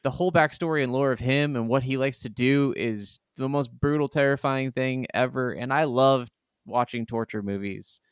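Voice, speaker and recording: A man's voice: mid-range voice, moderate pace, clean tone, no room sound, studio quality. A man co-hosting a podcast, chatting casually about video games in an emphatic, rambling, sweary way. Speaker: podcast co-host.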